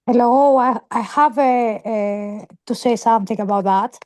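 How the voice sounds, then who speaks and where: A teacher with a Greek accent sharing a story in an online meeting, halting and restarting her sentences. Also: a little high, a little mellow. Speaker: heritage school teacher. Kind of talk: casual remark in an online meeting. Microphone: video call.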